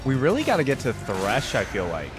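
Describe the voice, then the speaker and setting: A man giving casual, musing commentary as a reaction video host, often trailing off quietly. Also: medium pitch, wildly swooping pitch, fairly quick. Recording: voice over loud game cinematic music.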